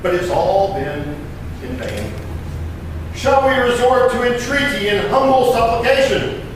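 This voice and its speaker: An impassioned patriot statesman of the 1700s, played by a man, delivers grand, declamatory oratory in a high male voice. He takes his time, with weighty pauses. The sound is distant and very echoey, with clear noise throughout. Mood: aggrieved, grave. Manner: declamatory, emphatic, deliberate pauses. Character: impassioned 18th-century American patriot statesman orating. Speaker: living historian performer. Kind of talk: dramatic historical oratory reading. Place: historic courthouse room. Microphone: distant microphone. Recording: very echoey room, distant mic, noisy.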